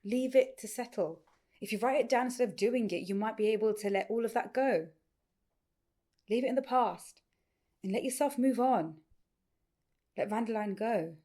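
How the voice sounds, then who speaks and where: A woman's voice: on the high side, moderate pace, pauses often, dark tone, lightly acted. An actress playing a caring but firm, teasing woman in audio play dialogue.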